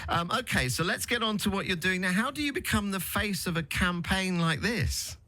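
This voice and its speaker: A male radio presenter interviewing a guest in a relaxed, conversational way. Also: sits high, normal speed, slight background noise. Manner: relaxed, conversational, many fillers.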